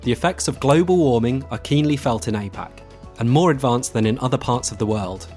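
A man's voice: mid-range voice, expressive, fairly quick, a little mellow. Male podcast host over faint music. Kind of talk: scripted presenting.

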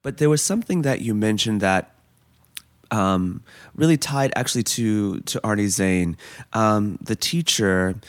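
A man's voice: on the low side, measured pace, dry recording, studio quality. A man hosting a podcast, introducing an episode and asking earnest, searching questions, often tagging them with "right?". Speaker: podcast host.

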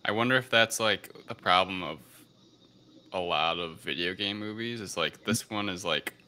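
A man's voice: deep voice, normal speed, bright, forceful tone. A man bantering casually as a podcast co-host.

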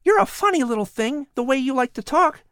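A woman's voice: sits high, animated, brisk, a bit bright, dry recording, studio quality, pitched above the performer's own voice. A woman doing an eager seven-year-old boy. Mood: playful. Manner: eager and playful. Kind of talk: storybook reading.

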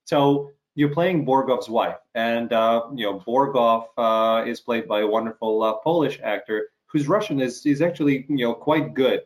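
A middle-aged man from Ukraine hosts a relaxed interview over a video call. He speaks at an ordinary pitch, pausing often with fillers and restarting his sentences. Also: rough recording. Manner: halting, frequent fillers and restarts. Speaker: interview host.

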